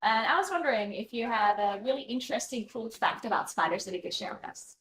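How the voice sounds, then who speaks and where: A bubbly woman asks interview questions and laughs often between them. Her voice sits fairly high. The recording is roomy and echoey with faint background noise. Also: animated, fast talker, fairly bright tone.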